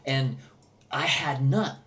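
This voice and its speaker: A man's voice: mid-range voice, slow pace, a bit bright. American man talking over background noise. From Milwaukee, United States. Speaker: podcast host. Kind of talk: casual conversation, personal anecdotes.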